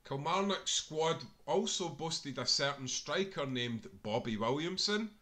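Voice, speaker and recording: A man's voice: fairly high pitch, lively intonation, unhurried, bright, forceful tone. A Scottish man reading a scripted sports history book aloud, as an author narrating his own work.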